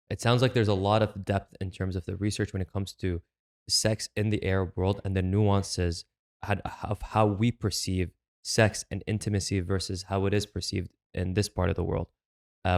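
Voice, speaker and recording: A man hosting an interview, introducing his guest and asking polite, measured questions. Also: sits low, flat delivery, vocal fry. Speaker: podcast host. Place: studio in Canada.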